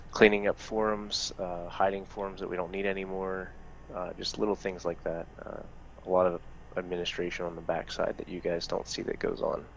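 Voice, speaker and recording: A man's voice: sits low, vocal fry, muffled recording, a little hiss or hum. A man who heads a gaming community's staff, answering questions in a casual group chat in a plain, matter-of-fact way with lots of fillers.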